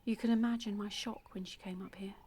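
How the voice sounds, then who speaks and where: A woman acting an intimate audio-play monologue as a calm, obsessive, secretly dangerous woman, confiding in a measured, often hushed and breathy way. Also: mid-range voice, a little hiss or hum, natural voice. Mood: confiding, quietly intense. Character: calm, obsessive, delusional woman abandoned as a baby, secretly murderous. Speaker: actor in a monologue.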